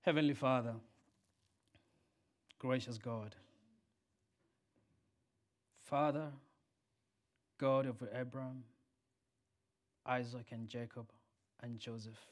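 A man preaching a sermon and leading a prayer, a native Swahili speaker who swings from loud emphatic peaks to soft quiet lines. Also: mid-range voice, fairly even intonation, takes it slowly, long pauses.

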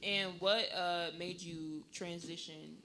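A man's voice: sits high, steady tone, slow, sharp tone, rough recording. A man hosting a casual interview show, upbeat and loose with his questions. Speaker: interview host.

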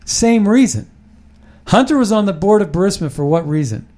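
Man, podcast host, rambling casual talk, emphatic, fairly high-pitched. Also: animated, moderate pace, mellow. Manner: rambling, emphatic, with frequent restarts.

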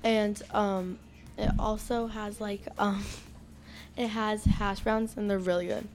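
A woman's voice: mid-range voice, flat delivery, takes it slowly, slight background noise. A girl camper from New Jersey chatting casually, hesitant and full of "like" and "um". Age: child. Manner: hesitant, lots of "like" and "um". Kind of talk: casual chat.

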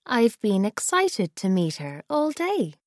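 A woman voicing a brisk grandmother with a hard, catching voice. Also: a little high, big pitch swings, a little mellow, dry recording, studio quality, voice pushed up. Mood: warm welcoming.

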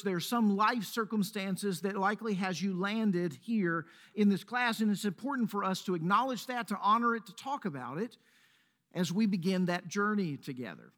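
A man with a Mississippi accent, a pastor leading a prayer and then preaching a sermon in a measured, earnest way. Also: high-pitched, fairly bright tone. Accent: Mississippi Southern. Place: church sanctuary.

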